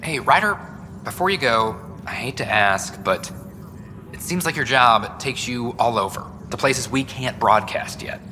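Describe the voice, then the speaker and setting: An earnest, overworked young male reporter in a sci-fi video game. His voice is bright and mid-pitched, chatty and self-deprecating. It rings in a large hall with faint noise behind it. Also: expressive, unhurried. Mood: wry, hopeful. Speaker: news reporter. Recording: reverberant hall with background noise.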